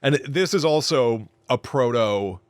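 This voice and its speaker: A man co-hosting a casual film discussion podcast, talking in a jokey, halting way and repeating words for comic emphasis. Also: medium pitch, animated, clean tone, no echo. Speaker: podcast co-host.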